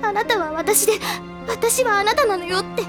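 Anguished teen girl, super high voice, bitter n halting, audio drama with music behind. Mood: aching. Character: anguished teenage girl, bitter over her mother's betrayal. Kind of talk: audio drama acting, emotional monologue.

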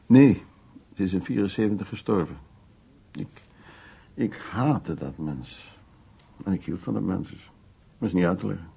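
An elderly gentleman in a radio play, with a low, husky voice full of gravel. He is a wry old charmer who tells of his past in short, unhurried fragments. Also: heavily acted. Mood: bitter, tender. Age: 70s or older. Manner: unhurried, fragmentary, reminiscing storytelling. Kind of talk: naturalistic radio drama monologue and dialogue.

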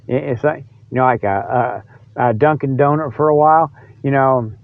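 A man's voice: medium pitch, no echo. An older American man hosting a podcast in rambling, casual solo chat, with a folksy, jokey way of talking. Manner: folksy, jokey, often chuckles. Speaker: podcast host. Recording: dull lo-fi audio.

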